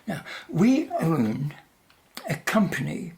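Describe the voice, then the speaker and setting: A woman's voice: sits low, big pitch swings, slow pace, a little mellow, full character voice. A woman playing a suave, calculating male company owner in a radio play, polite and formally persuasive. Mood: polite, insistent. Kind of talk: radio drama acting.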